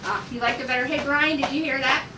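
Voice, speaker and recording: A woman's voice: high-pitched, steady tone, fast. Echoey, distant woman leading a group discussion. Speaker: director leading a cast table read. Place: room at a group table read. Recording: echoey, very noisy room, distant mic.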